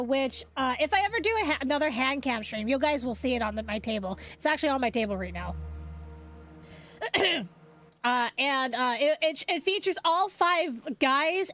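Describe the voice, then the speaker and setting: A woman's voice: sits high, fairly bright tone. A young woman streaming as a VTuber, chatting casually with viewers in a rambling way, a little sniffly from a cold. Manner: rambling, many restarts and fillers, chatty. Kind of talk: casual livestream chat.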